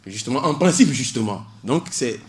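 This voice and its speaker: Hesitant male law student, plain pitch. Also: animated, moderate pace. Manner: hesitant, many fillers, cites legal articles. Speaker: guest, doctoral student in public law. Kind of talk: radio interview explanation.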